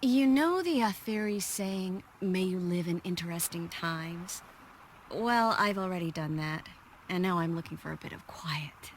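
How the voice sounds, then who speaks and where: An actress playing an affectionate, worldly sorceress in a video game, speaking softly and teasingly to her lover. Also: fairly low pitch, animated, unhurried, slight background noise. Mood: calm, reflective. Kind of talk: video game cutscene acting.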